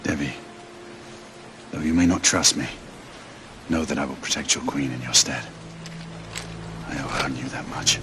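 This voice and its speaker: A man's voice: extremely low pitch, moderate pace, pauses often, noisy background. A man acting a determined, loyal knight in a video game cutscene, with a grave, earnest delivery. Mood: grave, hushed. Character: determined, loyal knight turned ally of rebels. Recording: dramatic music behind the voice.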